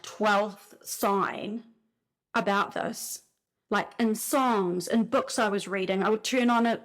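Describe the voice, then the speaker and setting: A woman with a New Zealand accent gives a casual spiritual talk, telling stories in an animated way and often saying "like". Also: fairly high pitch. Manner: animated storytelling, frequent "like" fillers. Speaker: spiritual speaker.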